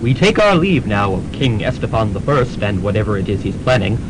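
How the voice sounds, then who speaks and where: Animated radio serial announcer, played by a man. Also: brisk. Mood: mock-grand. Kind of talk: scripted radio drama narration. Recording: noisy rumbling student radio recording.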